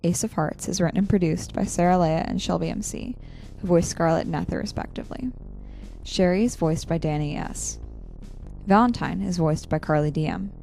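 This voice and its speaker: A woman announcer reads scripted credits for a podcast. Her voice is on the low side and crackles with fry. Soft music plays behind her. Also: moderate pace.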